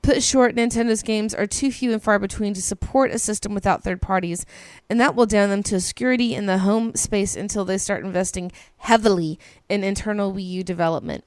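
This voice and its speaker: A woman's voice: mid-range voice, a little fast, few pauses, dry recording, studio quality. A woman co-hosting a podcast, reading listener emails aloud in a casual, gushing way that is peppered with swearing and ums. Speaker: podcast co-host.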